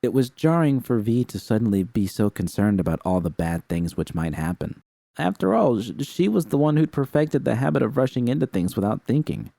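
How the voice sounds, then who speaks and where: A man narrating a first-person fan fiction story in a steady, even way. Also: mid-range voice, fairly quick, creaky, no echo. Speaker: audiobook reader.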